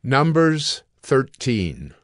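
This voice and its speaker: A man narrating scripture from a script in a measured, solemn manner. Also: mid-range voice, sing-song, no echo, clean studio sound. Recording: music bed under the voice.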